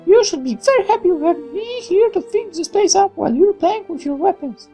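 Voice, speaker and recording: A man's voice: very high voice, expressive, a little fast, warm tone, soft background music, pitched above the performer's own voice. A man voicing a spoiled, gushing young daughter in a visual novel, in a girlish way. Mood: haughty, teasing.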